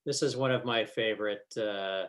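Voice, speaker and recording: A man presenting a webinar in casual, unscripted, halting and apologetic speech over a computer call. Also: lowish voice, a bit bright. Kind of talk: casual, unscripted screen-share talk. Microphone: computer on a video call. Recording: noisy webinar call with clicks.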